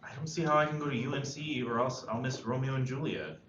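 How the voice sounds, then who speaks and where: A man's voice: medium pitch, fast talker, a bit bright, lightly acted. Man reading earnest teenage boy, echoey room. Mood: stressed, polite. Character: earnest, stressed, idealistic male high school senior. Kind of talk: screenplay table read. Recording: echoey, dull, faintly noisy room recording.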